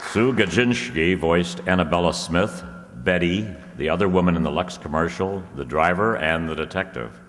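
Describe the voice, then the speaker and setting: A man playing a vintage radio announcer, reading scripted copy in a measured, formal manner. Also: sits low, natural voice. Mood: formal, genial. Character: smooth 1930s radio announcer selling soap. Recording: echoey hall, noisy audience room.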